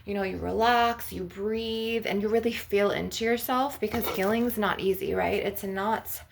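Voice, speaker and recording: A woman's voice: mid-range voice, steady tone, moderate pace, creaky, a little hiss or hum. A woman leading an ASMR energy-healing session as a spiritual healer, guiding the listener in an instructive way. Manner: instructive, guiding breathing and visualisation.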